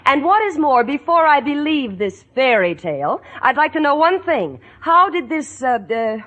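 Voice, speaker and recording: A woman's voice: high-pitched, big pitch swings, normal speed, a bit bright. A woman playing a sensible, skeptical young best friend with a wry, exasperated, articulate delivery in a 1940s radio sitcom. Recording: old 1940s radio broadcast.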